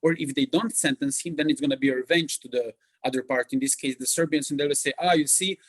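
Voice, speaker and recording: A man in the audience of a guest lecture asking a long question, halting and full of fillers. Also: medium pitch, breathy edge.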